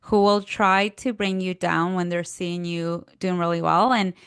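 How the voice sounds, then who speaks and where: A woman's voice: on the low side, fairly even intonation, measured pace, a bit bright, clear, resonant tone, no echo, clean studio sound. A woman from Peru with a Peruvian Spanish accent, telling personal stories in a podcast conversation and acting out little quotes as she goes. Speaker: podcast guest, career coach.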